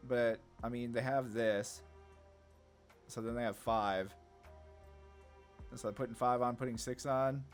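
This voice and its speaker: A man's voice: medium pitch, fairly even intonation, unhurried, pauses often, soft background music. A man giving casual, laid-back gameplay commentary on a card game, thinking aloud as he plays. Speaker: gaming content creator.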